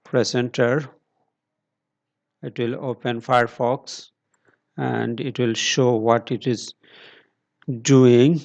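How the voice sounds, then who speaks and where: Slow, steady man walking through a tutorial. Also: mid-range voice, vocal fry, lo-fi sound. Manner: slow, step-by-step instructions with pauses and fillers. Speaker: tutorial presenter. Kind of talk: screen-recorded tech tutorial.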